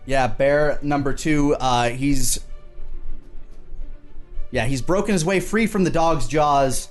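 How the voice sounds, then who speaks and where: A man's voice: on the high side, slow pace, soft background music. A man narrating an improvised tabletop fantasy game as game master, in a casual, colloquial manner.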